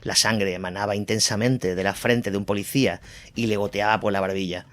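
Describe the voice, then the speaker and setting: A man's voice: on the low side, steady tone, a little fast, fairly bright tone. A man from reading a scripted documentary war narration as the narrator of an audio drama. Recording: music bed under the voice.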